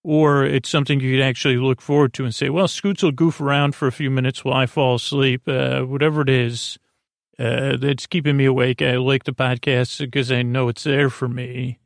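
A man with a creaky voice hosting a bedtime podcast, rambling hesitantly and often losing his thread. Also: mid-range voice, flat delivery, normal speed, no echo, clean studio sound. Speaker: podcast host.

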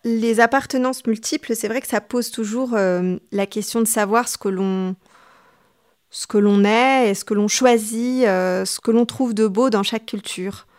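A woman novelist from Tunisia answering interview questions thoughtfully, often pausing to search for her words. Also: a little high, a little mellow. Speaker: novelist guest.

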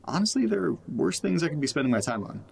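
A man's voice: a little high, sing-song, quick pace, creaky, a little mellow, breathy edge, exaggerated voice. An actor playing a rambling, self-deprecating young man recording a casual, joking audio diary. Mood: wry, upbeat. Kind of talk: found-footage audio drama, casual podcast monologue.

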